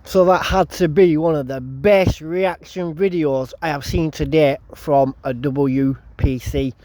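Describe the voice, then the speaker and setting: A man's voice: fairly high pitch, steady tone, a little slow, a little mellow, slight background noise. A man hosting the channel, giving enthusiastic voice-over commentary between clips. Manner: enthusiastic, hyping up. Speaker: channel host.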